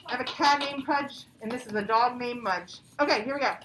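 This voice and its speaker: High-pitched woman teaching in echoey classroom. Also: brisk. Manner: instructional, simple phrasing with repetition. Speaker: elementary school teacher. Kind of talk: classroom lesson with read-aloud and questions to children. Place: indoor classroom. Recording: echoey classroom, distant mic, background noise.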